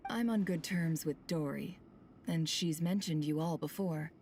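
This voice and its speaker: Low-pitched, sly, self-possessed boss. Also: female voice, darkish tone, slight background noise. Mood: cool, knowing. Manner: cool, dry, deliberate. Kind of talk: video game voice acting.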